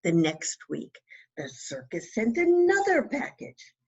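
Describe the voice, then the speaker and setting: A woman with a husky voice reading a children's story aloud with dramatic emphasis and little asides to young listeners. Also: on the high side, huge pitch swings, slow pace, dull audio.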